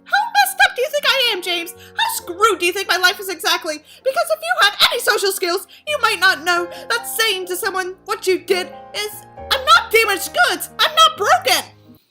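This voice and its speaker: Woman putting on a very shrill, overwrought teenage girl. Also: sing-song, moderate pace, music in the background. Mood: indignant, hurt. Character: wounded, defiant, melodramatic teenage girl in an audiobook. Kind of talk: exaggerated dramatic audiobook character reading.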